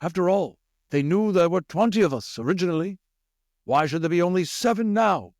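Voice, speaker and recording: Man voicing high-pitched, earnest rat leader. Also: expressive, darkish tone, dry recording, clean studio sound. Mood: grave, resolute. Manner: measured, questioning, deliberate. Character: earnest, commanding older male rat leader, deliberating gravely. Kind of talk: audiobook character voice.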